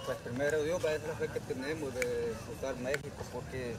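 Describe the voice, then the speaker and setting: A man's voice: medium pitch, fairly even intonation, brisk, very noisy. A tired middle-aged man from Honduras, interviewed outdoors at night on a handheld mic, speaking earnestly and pleadingly. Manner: earnest, pleading, repeats "va" as a filler. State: tired and worn out. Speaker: migrant interviewed on the street. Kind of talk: street interview. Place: outdoors at night by a river border crossing. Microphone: handheld reporter mic.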